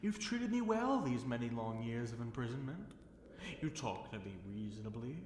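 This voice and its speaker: A man playing an obsessive, seductive fallen dragon king held captive, speaking in a slow, teasing, theatrical purr for an intimate ASMR roleplay. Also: mid-range voice, lively intonation, darkish tone, close to an everyday voice. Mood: protective, intense. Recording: roomy echo with faint noise.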